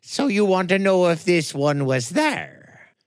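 A man doing a slow, knowing old crone. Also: sits high, sing-song, clean tone, no room sound, studio quality, voice pushed up, full character voice. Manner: slow, knowing, drawn-out speech. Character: shrewd old woman fortune reader.

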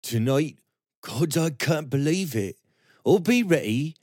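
A man voicing an eager young man, excited and ready. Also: a little high, slow, no echo, clean studio sound, voice pushed up.

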